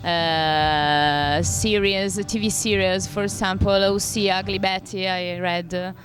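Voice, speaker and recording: A woman's voice: medium pitch, steady tone, slow pace. A woman from Italy, an interviewer asking questions hesitantly with many fillers. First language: Italian. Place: festival backstage. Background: loud music.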